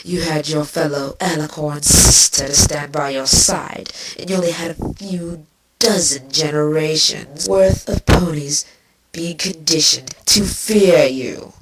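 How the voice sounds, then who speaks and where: A woman's voice: deep voice, measured pace. A woman voicing a bitter, venomous changeling queen villainess with a biting, sardonic delivery in a fan fiction reading. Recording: distant mic, clearly noisy.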